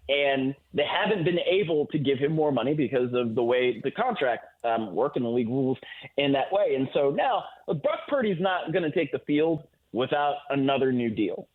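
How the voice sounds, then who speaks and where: A man giving football analysis as a guest in a sports radio interview, heard over a remote call. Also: on the high side. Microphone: phone or remote call. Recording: thin, phone-like line.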